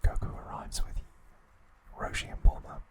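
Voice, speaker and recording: A man narrating in a breathy, unvoiced ASMR whisper, hushed and measured, in short phrases. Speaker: host of an ASMR trivia channel.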